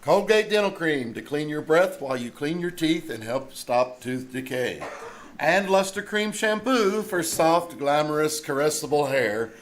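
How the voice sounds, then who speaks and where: A man's voice: fairly high pitch, expressive, a little slow. A man announcing a scripted comedy show in the style of old-time radio, on a theater stage. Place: theater stage before a live audience. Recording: live stage recording, some background noise.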